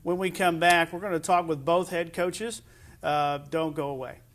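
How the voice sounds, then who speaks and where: A man's voice: a little high, fairly even intonation, moderate pace, a bit bright. A middle-aged American man hosting a conversational sports interview, asking long, somewhat hesitant questions. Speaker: host of a high school sports interview show.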